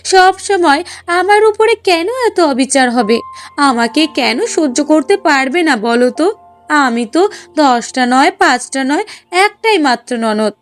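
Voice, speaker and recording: A woman voicing a spoiled, jealous young daughter in a cartoon, with a whiny, accusing, dramatic manner. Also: sits high. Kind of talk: Bengali cartoon voice acting.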